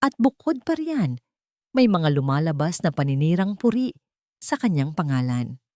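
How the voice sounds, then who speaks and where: An earnest, protective grown son in an audio drama, voiced by a woman narrator. He sounds worried and pleading, in a lowish, expressive voice. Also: moderate pace, no echo, studio quality.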